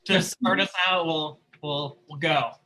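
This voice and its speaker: A man hosting an informal post-show discussion, talking in halting, repeated phrases. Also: on the high side, bright, forceful tone. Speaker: host and moderator.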